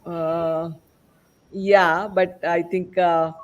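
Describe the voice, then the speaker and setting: A man hosting a panel discussion as podcast host, speaking in a halting, rambling way. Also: on the high side, little pitch movement, dull audio.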